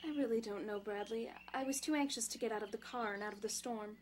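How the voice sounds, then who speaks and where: A woman's voice: on the high side, fast, close to an everyday voice. A woman playing a teasing, easygoing young houseguest in an audio drama, with a playful, conversational manner. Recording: clear background noise.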